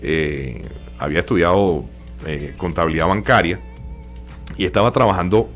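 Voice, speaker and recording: An older man from Venezuela with a Venezuelan Spanish accent, a radio announcer telling his story as a guest, relaxed and full of hesitations. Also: low voice, normal speed, soft background music. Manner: relaxed, hesitant with many fillers. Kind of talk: interview storytelling.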